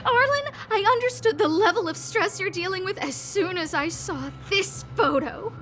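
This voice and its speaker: A very high, bright young noblewoman in a game. She speaks politely and dramatically, with earnest care in every phrase. Music plays lightly behind her. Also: expressive, normal speed. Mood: remorseful, pleading. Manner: theatrical, emotive, polite. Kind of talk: scripted game dialogue.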